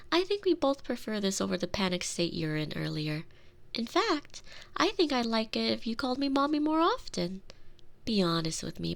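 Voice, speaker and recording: A woman playing a protective, gently dominant girlfriend in an intimate ASMR roleplay, soothing and doting, often slipping into breathy whispers. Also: mid-range voice.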